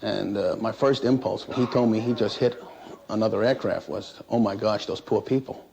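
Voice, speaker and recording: A man who works as an air traffic controller recounts his experience in an interview in a halting, plain-spoken, matter-of-fact way. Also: on the low side, vocal fry, a little mellow. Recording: noisy 1960s interview recording.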